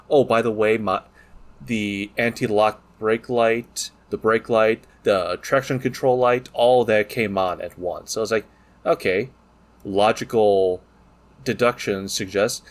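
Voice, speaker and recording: Low-pitched man in casual, profane banter. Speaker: podcast co-host. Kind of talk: casual chat.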